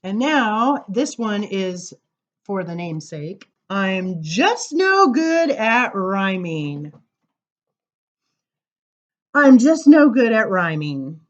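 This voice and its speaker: A woman reading children's poems aloud at story time, informal, with dramatic emphasis and drawn-out words. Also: medium pitch, very animated, highs cut off. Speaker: library story time reader.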